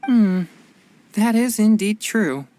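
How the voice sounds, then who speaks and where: A woman's voice: mid-range voice, lively intonation, takes it slowly, mellow, a little hiss or hum. A woman playing an earnest, modest teenage boy exorcist with a deferential manner in a video game cutscene. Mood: thoughtful.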